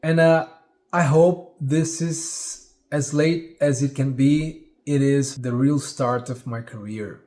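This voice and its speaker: A man's voice: medium pitch, fairly even intonation, darkish tone. A Brazilian man in his forties with a Portuguese accent, a musician speaking earnestly to camera from his living room. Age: 40s. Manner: earnest, reflective, pauses with fillers. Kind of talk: direct-to-camera vlog. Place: living room of a home, indoors. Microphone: camera at head-and-shoulders framing.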